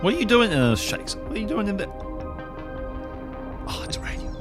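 A man playing an excitable, exasperated and scatterbrained adventurer in an audio drama, blurting bewildered questions. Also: high-pitched, normal speed, over music, voice pushed up. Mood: surprised, confused.

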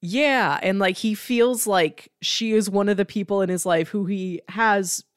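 A woman's voice: mid-range voice, a little slow, smooth, dry recording, studio quality. A woman co-hosting a podcast, chatting casually and earnestly about television with emphatic, discursive phrasing. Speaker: podcast co-host. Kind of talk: casual chat discussing a TV series.

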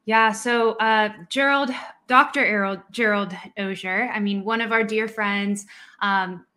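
A woman's voice: medium pitch, fairly even intonation, measured pace, bright, forceful tone. Woman podcast co-host with frequent ums. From Colombia. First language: Spanish. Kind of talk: casual podcast chat.

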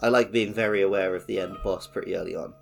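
A man's voice: deep voice, steady tone, quick pace, gravelly. Male podcast co-host with guitar music behind. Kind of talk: casual discussion of a video game.

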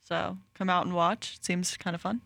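A woman's voice: on the low side, level tone, moderate pace, vocal fry, a bit bright, dry recording, studio quality. A woman co-hosting a radio sports show, reading event listings, giggling often and joking about herself. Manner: giggly, self-deprecating. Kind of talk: casual radio chat.

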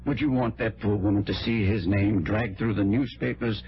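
An actor playing a smooth, persuasive, pious man in a vintage radio drama, speaking in a measured, sanctimonious way. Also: fairly low pitch, steady tone, lightly acted. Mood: challenging, cautioning. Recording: dull vintage radio broadcast recording.

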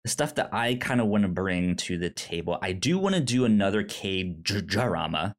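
A man co-hosting a podcast in casual chat, halting and stammering over repeated words. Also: low voice, animated. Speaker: podcast co-host. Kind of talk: casual chat about shows and films.

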